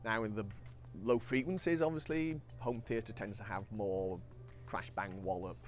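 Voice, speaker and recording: A man's voice: fairly low pitch, moderate pace. A man speaking as an engineer, giving an informal technical explanation and posing questions that he then answers himself. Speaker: loudspeaker engineer and designer.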